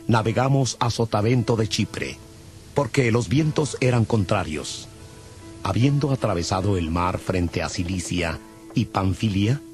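A man narrating scripture as a scripted audio Bible, in a measured, solemn manner. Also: lowish voice, breathy edge, dry recording. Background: music.

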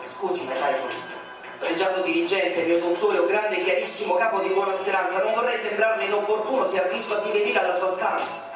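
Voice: medium pitch, fairly even intonation, brisk, natural voice. A reader voicing an earnest male employee who speaks formally and pleads deferentially, in a radio poem reading. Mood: pleading. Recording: very echoey, distant from mic, music behind.

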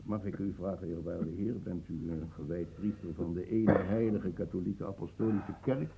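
An urgent, gravelly sickbed attendant. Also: male voice, deep voice, steady tone, normal speed, heavy background noise. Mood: anxious. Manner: urgent, insistent. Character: anxious male attendant at a sickbed. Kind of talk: radio play acting.

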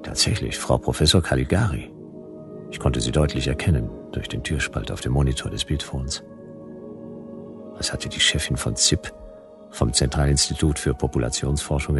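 An actor playing a wry, laconic private detective, narrating in a dry hard-boiled manner for a German radio play. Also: male voice, a bass voice, moderate pace, pauses often, music in the background. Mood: wary, brooding.

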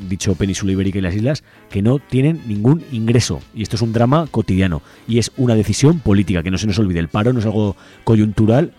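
Low, mellow Spanish male radio host. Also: slightly rough. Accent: Spanish from Spain. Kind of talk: informal activist radio presenting.

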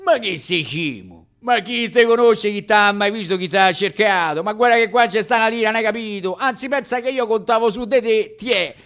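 An actor playing a stingy, scornful theatre troupe boss with a Roman accent, mocking and dismissive, in a radio play. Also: male voice, high voice, normal speed, few pauses, a bit bright, clean tone.

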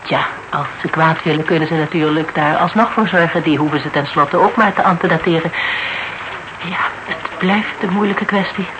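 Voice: medium pitch, normal speed, close to an everyday voice. An actor in a radio play playing a formal, deferential yet probing legal adviser who speaks carefully and with hesitations. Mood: tactful, careful. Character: polite, probing legal adviser, tactfully insistent. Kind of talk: Dutch radio drama acting, dialogue. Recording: noisy old radio broadcast recording.

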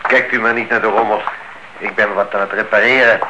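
A man's voice: fairly low pitch, fairly even intonation, brisk, close to an everyday voice. An actor playing a polite, guarded male neighbour in a radio play, formal and controlled. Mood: guarded, courteous. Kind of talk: Dutch radio play acting. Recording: dull, noisy old radio-play recording.

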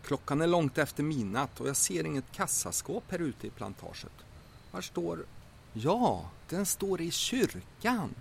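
An actor playing a boastful, quick-tempered rural safecracker in an audio drama, with a folksy manner. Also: male voice, a little high, sing-song, gravelly, slight background noise. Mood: folksy, smug. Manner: folksy, boastful, flares into shouting.

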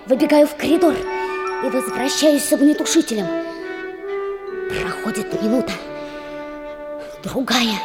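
Slow, dreamy, high-voiced schoolgirl. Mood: rapt, triumphant. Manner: slow, dramatic, self-important. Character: proud, wounded schoolgirl dreaming of heroic glory. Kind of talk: dreamy monologue in children's radio play. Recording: reverberant radio play, loud music behind.

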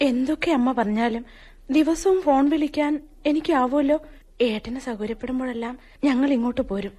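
A woman's voice: sits high, fairly quick, warm tone. A woman with a Malayali accent acting a tearful, emotional young bride reluctant to leave her parents in a radio drama. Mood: gently protesting. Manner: emotional, pleading.

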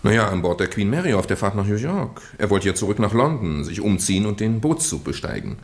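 An actor playing a flustered young English gentleman under suspicion in a radio play, halting and protesting. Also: fairly low pitch, big pitch swings. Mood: uneasy. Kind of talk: scripted radio drama dialogue.

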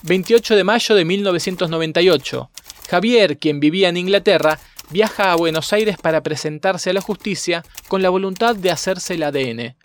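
A male narrator with a fairly high voice reads a scripted documentary chronology. Typewriter clicks and faint noise sit behind him. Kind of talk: scripted documentary narration, reading dated events.

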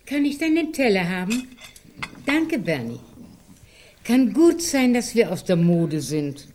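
An actress playing a gentle, fussy, placating older woman in a German radio drama. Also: mid-range voice, big pitch swings, measured pace, slight background noise. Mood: fussing. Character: gentle, fussing older woman, loyal housemate and carer.

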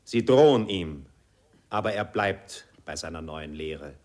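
An actor playing a solemn narrator in a 1940s German radio play. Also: male voice, low voice, lively intonation, measured pace, a little mellow.

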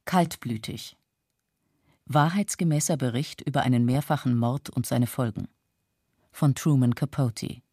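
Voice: mid-range voice, measured pace, dark tone. An announcer giving scripted radio drama titles and credits in a dry studio.

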